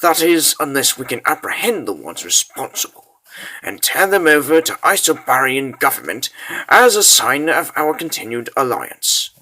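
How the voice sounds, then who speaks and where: A man voicing a sardonic king in a fantasy comic dub, formal, measured and quietly menacing. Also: medium pitch, sing-song, low-quality recording. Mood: commanding. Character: measured, sardonic male king giving orders.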